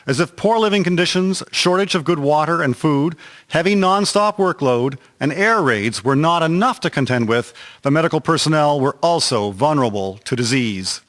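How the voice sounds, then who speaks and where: A man's voice: fairly high pitch, lively intonation, normal speed, a bit bright. A man reading scripted narration for a historical radio drama. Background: instrumental music.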